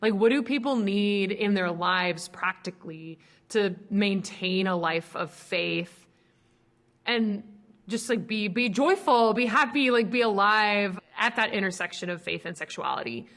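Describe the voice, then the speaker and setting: A woman's voice: mid-range voice, a little slow, a bit bright. A young American woman from Minnesota answering as an interview guest, talking reflectively in loose, stop-start conversational phrases. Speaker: podcast guest, ministry co-founder.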